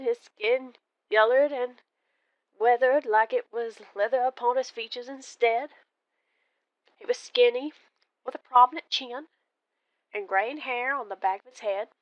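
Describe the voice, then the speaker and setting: A woman tells a scripted ghost story with deliberate, expressive delivery. Her voice sits a little high. The sound is very dry and somewhat dull, like a lo-fi close recording. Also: dry recording. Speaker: storyteller calling in to a horror radio show. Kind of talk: dramatic scripted first-person ghost story narration.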